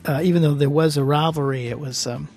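A woman's voice: low-pitched, steady tone, quick pace, darkish tone, soft background music. A woman from Texas with a Texan drawl, chatting casually and telling a story with laughs. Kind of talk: casual chat.

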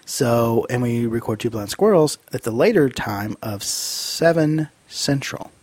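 A man co-hosting a podcast in casual, easygoing and rambling chat, his voice husky from a cold. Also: mid-range voice, animated, a little slow, darkish tone, dry recording. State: fighting off a cold. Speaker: podcast co-host.

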